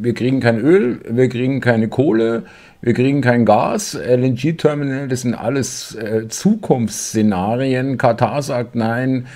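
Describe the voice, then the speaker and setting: Man, 50s, low mellow voice, hesitant with lots of uh, sarcastic commentary host. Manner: halting, many filler sounds, sarcastic. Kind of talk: casual political commentary.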